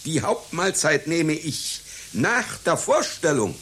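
Pompous old clown, slow and theatrical. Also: male voice, fairly high pitch, big pitch swings, a bit bright, breathy edge, heavily acted. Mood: haughty, melancholy. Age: older. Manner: pompous, halting, stammering. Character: vain, melancholy aging circus clown, proud of his art. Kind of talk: satirical radio play acting. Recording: hissy radio play recording.

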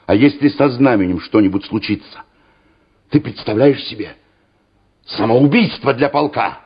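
An actor playing a stubborn, gruff Soviet regimental commander, curt and exasperated, in a radio drama. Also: male voice, medium pitch, normal speed. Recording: muffled old radio play recording.

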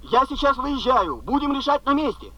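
An actor playing a flustered, apologetic railway official with halting, bureaucratic speech in a radio drama. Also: male voice, very high-pitched, very animated, fairly bright tone, heavily acted, poor audio. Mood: flustered, excusing. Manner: hesitant, halting, bureaucratic wording. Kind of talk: 1970s Soviet radio drama acting.

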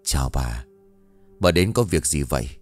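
A man playing a solemn, calm spirit master in a horror story, speaking in a grave, measured manner. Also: a bass voice, soft background music, pitched below the performer's own voice.

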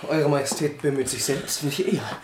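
An actor playing a gallant, lovestruck young crown prince in a German radio play, breathy, eager and courtly. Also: male voice, on the high side, normal speed, close to an everyday voice. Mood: gallant, secretive. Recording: noisy, echoing room with clicks.

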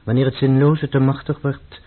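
An actor playing a grave, troubled man in a radio play, speaking formally and deliberately with a husky voice. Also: mid-range voice, level tone, close to an everyday voice. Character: grave, troubled man obsessed with purity, calmly instructing. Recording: muffled, phone-like old radio recording.

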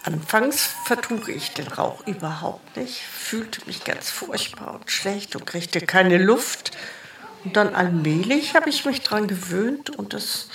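A woman playing an elderly, trusting pensioner who muses slowly and deliberately in a mock-documentary radio drama. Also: mid-range voice, gravelly, fairly bright tone, exaggerated voice. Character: elderly female pensioner, hesitant, trusting, easily exhausted. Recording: roomy, reverberant indoor sound.

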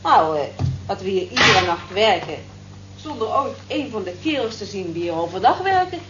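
A woman's voice: mid-range voice, very animated, moderate pace, close to an everyday voice. An actress in a radio play playing a wistful, observant working-class woman who cleans offices at night, chatty and musing. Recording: roomy, muffled, hissy old radio recording.

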